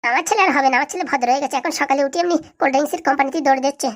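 Lady doing a gloating cartoon village wife, high voice, bickers really fast. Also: close to an everyday voice. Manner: mocking, quarrelsome. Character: gloating, sharp-tongued village wife and doting mother. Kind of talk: comic cartoon dialogue.

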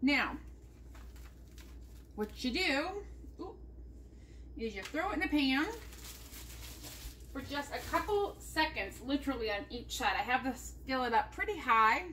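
A woman's voice: sits high, lively intonation, measured pace, pauses often, bright, forceful tone, a little hiss or hum. A woman from the United States chatting casually as she walks viewers through a cooking demonstration in her home kitchen, recorded on an overhead camera. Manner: chatty, talks viewers through each step. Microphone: camera mounted above the counter.

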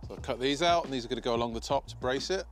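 A man's voice: mid-range voice, very fast, over loud music. A British man in his thirties talking informally and self-deprecatingly as he narrates his own woodworking video outdoors. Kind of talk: casual DIY vlog commentary. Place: outdoor paved garden patio by a field.

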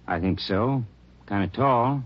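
An American man playing a chatty, defensive hotel manager in an old radio drama, folksy and a bit hesitant, with a husky voice. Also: fairly low pitch, slow pace. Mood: uneasy, defensive. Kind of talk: mid-century radio drama acting. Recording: muffled old radio recording.

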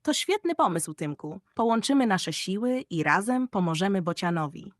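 A woman's voice: mid-range voice, very animated, moderate pace, no room sound, studio quality, close to an everyday voice. A woman voicing a wise, resourceful female cat in a children's story, encouraging and decisive.

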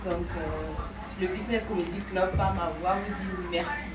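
A woman's voice: lowish voice, steady tone, a little slow, distant mic. A young woman with a Cameroonian accent, speaking as a vlog host to followers in a bubbly, affectionate, gushing manner. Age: young adult. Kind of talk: casual promotional vlog addressed to followers. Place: indoor room with bare walls. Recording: echoey room, off-mic, heavy background noise.

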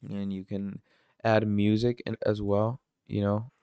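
A man giving a casual screen-recorded software tutorial, filling his talk with "obviously" and "you're gonna". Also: fairly low pitch, level tone, unhurried, smooth, no room sound, clean studio sound. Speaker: tutorial presenter.

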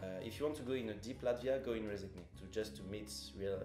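A man's voice: fairly low pitch, fast. A man in his twenties from France with a French accent, hesitantly telling an interviewer about student life. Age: 20s. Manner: hesitant, searching for words. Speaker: exchange student. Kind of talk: casual interview. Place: room with stone wall. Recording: echoey room with music underneath.

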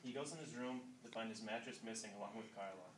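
A young man reading scripted narration aloud, haltingly, with some repeated words. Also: on the low side. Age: young adult. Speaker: narrator of a student radio drama. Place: classroom, indoors. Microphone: distant handheld camera. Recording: echoey, noisy room, distant mic.

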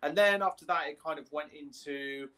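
A man's voice: fairly high pitch, moderate pace, fairly bright tone. A young man co-hosting a casual podcast, explaining things in detail like an enthusiastic fan. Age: young adult. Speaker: podcast co-host. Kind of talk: casual discussion of games and films. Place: small room at home, on a video call.

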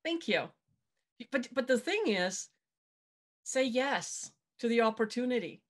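A woman's voice: fairly high pitch, expressive, measured pace. A middle-aged woman from Germany, hosting a motivational video-call interview and stressing her advice with repetition. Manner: emphatic, repeats key advice. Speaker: podcast host. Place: home room with dark backdrop. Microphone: webcam on video call.

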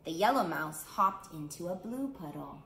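A woman's voice: fairly low pitch, animated, moderate pace, fairly bright tone. A middle-aged woman, a children's art teacher, speaking to young children as in a picture book storytime, with simple phrasing. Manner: simple, clear phrasing with questions to young listeners. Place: small indoor room. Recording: roomy indoor echo, clear background noise.

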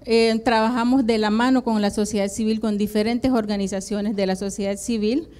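Woman, middle-aged, smooth resonant voice, hesitant fillers, handheld mic conference talk. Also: medium pitch, a little fast. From Atlantic coast of Nicaragua. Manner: hesitant, many "em" and "eh" fillers, steady explanatory pace. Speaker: university program coordinator, conference panelist. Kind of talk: conference presentation. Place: conference room with flags and projection screen. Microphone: handheld microphone.

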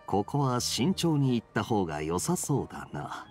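A man voicing a relaxed, playful young male knight in an anime game, speaking smoothly and casually. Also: medium pitch, normal speed, faint music behind, close to an everyday voice. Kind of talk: Japanese anime game voice acting.

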